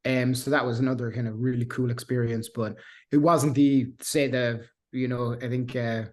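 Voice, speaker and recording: A man's voice: medium pitch, level tone, moderate pace, darkish tone. A man in his thirties with a Cork Irish accent, a guest in a casual interview who rambles with restarts and fillers. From Ireland. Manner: rambling, many restarts and fillers, self-deprecating laughs. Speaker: guest, software engineer.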